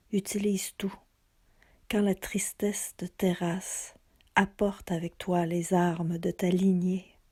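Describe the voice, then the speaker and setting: A woman reading a poem in a soft, soothing half-whisper. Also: on the low side, husky, dry recording, clean studio sound. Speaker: poet. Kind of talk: poetry reading.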